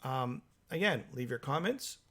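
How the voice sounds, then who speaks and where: A hoarse man with a cold hosts a live stream, reading out viewer comments in a halting way with many fillers. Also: mid-range voice, animated, fairly bright tone. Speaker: livestream host.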